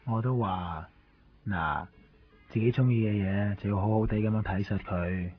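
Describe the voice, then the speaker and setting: Cheeky, meddling Hong Kong man, played by an actor, deepish voice, dull radio drama recording. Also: normal speed, close to an everyday voice. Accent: Hong Kong Cantonese. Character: cheeky, possessive male stranger meddling in others' trouble. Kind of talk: 1990s Cantonese radio drama acting. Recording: muffled radio recording, faint music.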